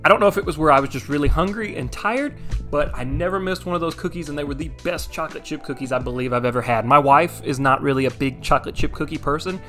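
A man's voice: a little high, quick pace, a bit bright. A man in his thirties giving a casual, enthusiastic, conversational voice-over review as a vlog host. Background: background music.

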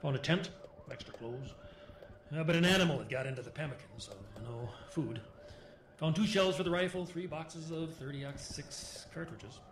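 An actor playing a weathered man solemnly recounting a grim survival tale in halting, folksy, clipped sentences for a radio horror drama. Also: on the high side, animated, close to an everyday voice. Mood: grim recollection. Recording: roomy, clear background noise and ambience.

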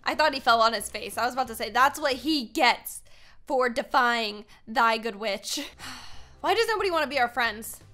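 A young American woman with a high, bright voice hosts a gaming video. She talks casually and excitably in an animated, playfully dramatic way. She is close to the mic in a home room, with music underneath. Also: normal speed. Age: 20s. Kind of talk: excitable gameplay commentary with casual chat to viewers. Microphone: desk microphone, close.

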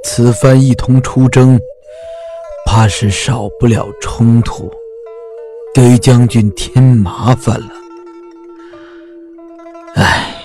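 A man playing a gravelly, sighing old soldier. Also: mid-range voice, darkish tone. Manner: slow, sighing delivery. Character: aging male army veteran, weary and regretful. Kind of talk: audio drama acting.

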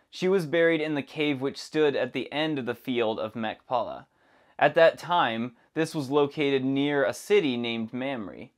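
Young guy teaching a bible lesson, steady measured delivery, mid-pitched voice, lapel mic in a studio. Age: young adult. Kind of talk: scripted Bible lesson with scripture readings. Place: dark studio with plants behind.

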